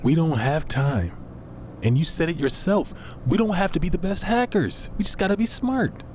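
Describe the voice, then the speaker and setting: An actor playing a brash, impatient schemer in a podcast drama, blunt, slangy and sarcastic. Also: male voice, a little high, normal speed, darkish tone, slight background noise, close to an everyday voice. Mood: urgent. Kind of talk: naturalistic fiction podcast acting.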